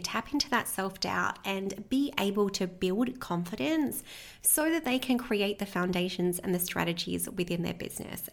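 A woman hosting her own podcast as a business coach, speaking in an earnest, motivational way with a slightly croaky voice. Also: mid-range voice. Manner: earnest, motivational, repeats phrases like "within your business" and "right?". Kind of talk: scripted podcast intro then casual monologue.

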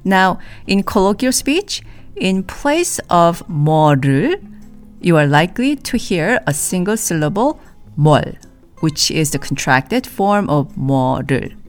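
A woman teaching a language in a scripted podcast lesson, saying model phrases slowly and clearly for listeners to repeat. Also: on the low side, big pitch swings, soft background music.

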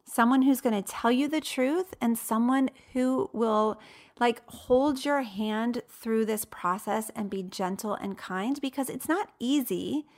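A woman's voice: fairly high pitch, a little slow, darkish tone. A woman in her late thirties speaking as a coach and host, giving a motivational talk in an emphatic, conversational way with rhetorical questions. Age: late 30s.

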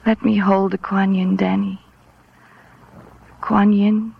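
An intense murderous professor woman, 1940s radio drama, creaky voice, dull old recording. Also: mid-range voice, little pitch movement, slow pace, heavily acted. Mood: awed, obsessed. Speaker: radio actress.

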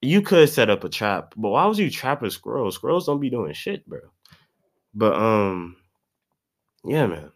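Young male podcaster, stuffed-up nose, loose slangy ramble, pitch bouncing all over. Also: big pitch swings, measured pace. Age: about 20. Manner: rambling, slangy, profane, restarts often. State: congested. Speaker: podcast host. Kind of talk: casual solo podcast monologue.

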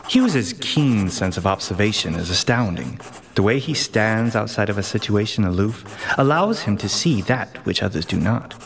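An admiring, formal male sidekick in a comic detective audio drama. His intonation is lively and wide, earnest with a touch of hesitancy. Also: fairly low pitch, moderate pace. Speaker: sidekick and narrator. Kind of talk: comic period murder-mystery audio drama narration and dialogue.

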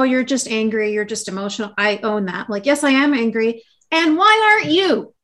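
A woman's voice: on the high side, a bit bright. A woman with Arabic as her first language hosting a casual online talk, outspoken and swearing when indignant. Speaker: host.

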